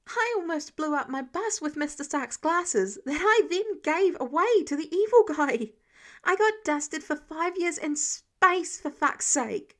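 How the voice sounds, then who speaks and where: A woman's voice: high voice, expressive, a little slow, fairly bright tone, pitched above the performer's own voice. A woman voicing a hot-headed, wounded teenage boy superhero in an audio story, emphatic and slangy. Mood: angry, desperate.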